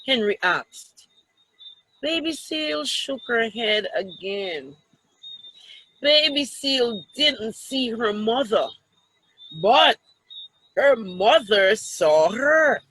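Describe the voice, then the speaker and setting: Very slow, bright-voiced woman reading aloud. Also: on the high side, lively intonation, slightly rough, no room sound, poor audio. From Jamaica. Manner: deliberate, pausing to explain pictures and question children. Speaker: storytime reader, teacher. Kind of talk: reading a picture book aloud to children.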